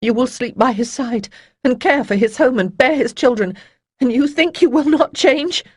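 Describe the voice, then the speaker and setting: A woman's voice: a little high, a little fast, a little mellow, no room sound, clean studio sound, voice pushed up, lightly acted. A woman voicing an intense young priestess who pleads urgently and sometimes drops to a whisper. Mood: pleading.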